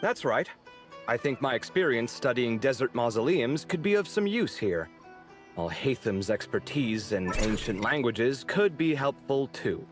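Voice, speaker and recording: A man's voice: medium pitch, sing-song, measured pace, music in the background. A man voicing a dramatic, sociable young male architect in a video game, theatrical, sarcastic and easily exasperated. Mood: warm, eager. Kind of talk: video game character acting.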